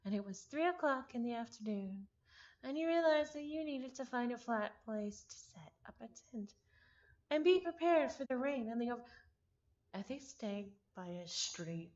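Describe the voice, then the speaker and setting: A woman streamer reading a visual novel aloud, expressive and given to breaking off into exasperated, chatty commentary. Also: on the high side, normal speed, dull audio. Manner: expressive, breaks off narration to exclaim and repeat words in exasperation.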